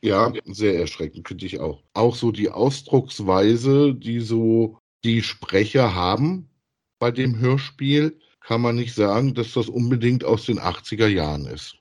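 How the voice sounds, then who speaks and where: A man's voice: mid-range voice, animated, unhurried, darkish tone. A man co-hosting a podcast, chatting casually and with some amusement as he reviews audio dramas. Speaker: podcast co-host.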